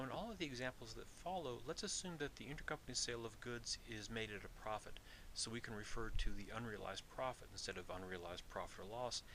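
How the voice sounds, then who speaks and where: A man lectures in a bright, crisp-edged voice at a middling pitch. He explains methodically and deliberately, like an instructor walking through material and restating key points. A faint hiss sits under the recording. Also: poor audio. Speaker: accounting instructor.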